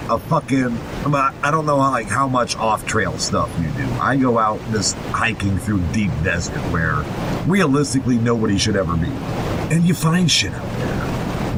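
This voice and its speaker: A man's voice: expressive, moderate pace. A man co-hosting a podcast in a foul-mouthed, sardonic way, moving between casual commentary and mocking readings of a horror story. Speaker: podcast co-host. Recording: rumbling noisy recording.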